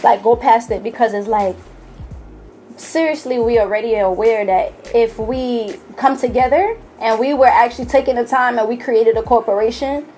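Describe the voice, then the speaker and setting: A woman's voice: on the high side, moderate pace, darkish tone, faint music behind. A woman from New York, a guest musician, who speaks emphatically and a little preachily in a talk-show conversation. She repeats herself and fills her speech with fillers. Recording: distant mic with faint noise.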